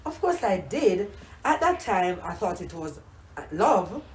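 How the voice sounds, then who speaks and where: A woman's voice: medium pitch, sing-song, a little slow, reverberant, slight background noise. An older grandmother from Jamaica with a Jamaican accent, reminiscing in a casual interview and often repeating herself. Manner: warm reminiscing, repeats words, many "you know"s. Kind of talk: casual oral history interview.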